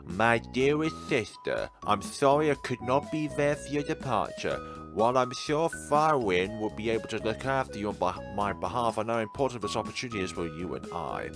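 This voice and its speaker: A man's voice: lowish voice, animated, moderate pace, hardly any pauses. A man voicing an affectionate sister through a tender letter read aloud in a visual novel. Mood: tender, proud. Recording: music playing behind the voice.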